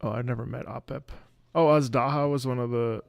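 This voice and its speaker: A man's voice: mid-range voice, steady tone, brisk, gravelly, slight background noise. A man giving casual, offhand and jokey live commentary while playing a game, thinking aloud. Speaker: streamer playing a video game. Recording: faint game music behind.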